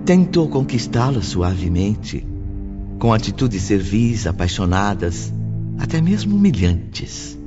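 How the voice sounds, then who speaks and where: An actor serving as a formal storyteller, narrating a dramatised audiobook. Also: male voice, lowish voice, big pitch swings, slow. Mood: measured. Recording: dull lo-fi audio with music. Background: music.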